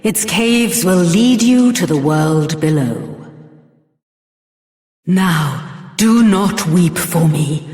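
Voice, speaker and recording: A woman's voice: fairly low pitch, expressive, very slow, long pauses, a little mellow. A woman voicing a regal, dying dragon queen in a fantasy video game, stately, formal and solemn, with echoing hall reverb. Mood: gentle, resigned.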